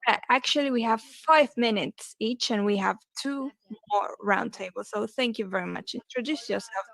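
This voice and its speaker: A woman giving live interpretation of a panel discussion, speaking in halting phrases with pauses and self-corrections. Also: a little high, gravelly, a bit bright, rough recording. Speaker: simultaneous interpreter.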